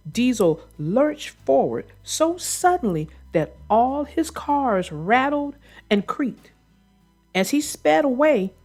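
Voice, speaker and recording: A woman narrating a children's book in an expressive read-aloud storytelling style. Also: on the high side, husky.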